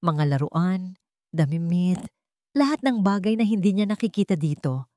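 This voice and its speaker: A woman's voice: lowish voice, animated, moderate pace, dark tone, no room sound, clean studio sound, close to an everyday voice. A Filipino woman voicing a remorseful, wealthy young father in a storybook drama, earnest and imploring. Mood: earnest, pleading.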